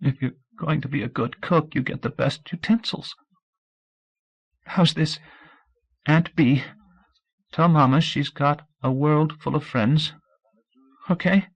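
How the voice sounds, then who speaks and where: A man's voice: a little high, slow, long pauses, husky, dull audio, pitched above the performer's own voice, heavily acted. A man reading aloud, voicing a desperate, tearful teenage girl in love, in a strained, pleading voice. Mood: tender. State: crying.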